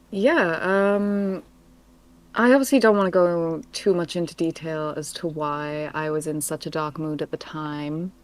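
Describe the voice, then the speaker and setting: A woman's voice: low-pitched, steady tone, slow. A Welsh woman who writes audio drama scripts, chatting casually on a live stream in a thoughtful, giggly way. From Wales.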